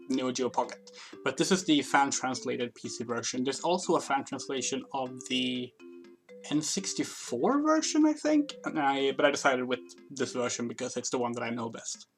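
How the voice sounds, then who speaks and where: Casual game streamer with music behind, low-pitched. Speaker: game streamer, channel host. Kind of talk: casual livestream game commentary.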